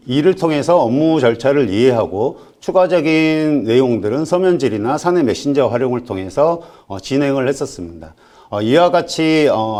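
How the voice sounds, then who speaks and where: A man gives a business presentation in a relaxed, mellow voice of middling pitch. He is polite and formal, pausing haltingly as he goes. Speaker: conference presenter, IT company executive.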